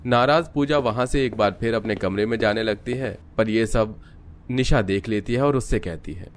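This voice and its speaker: A man narrating a scripted animated family story. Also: lowish voice, normal speed, faint music behind.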